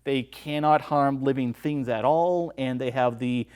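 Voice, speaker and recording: A man's voice: medium pitch, a little slow, a little mellow, clear, resonant tone. A man as a podcast guest in a casual film discussion, explaining things informally.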